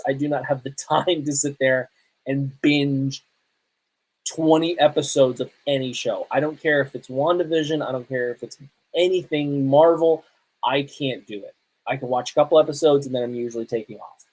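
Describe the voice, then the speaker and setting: A man's voice: a little high, animated, moderate pace, husky, low-quality recording. A man, chatting casually and enthusiastically as a solo podcast host. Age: 30s. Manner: conversational, enthusiastic, emphatic. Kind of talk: casual solo podcast chat on pop culture and games.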